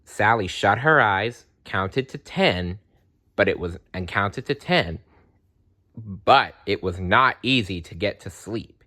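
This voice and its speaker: A man's voice: fairly low pitch, expressive, takes it slowly, bright, forceful tone. A man reading a children's storybook aloud expressively. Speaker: storybook reader.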